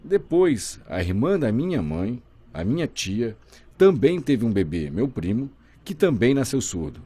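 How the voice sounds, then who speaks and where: A man voicing a deaf guest's signing in the first person, telling his story in a lively, expressive way. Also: medium pitch, a little slow, slightly rough. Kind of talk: simultaneous voice interpreting, first person.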